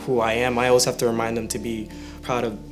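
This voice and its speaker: Even-toned young man over guitar music. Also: medium pitch, fast. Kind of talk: reflective personal account.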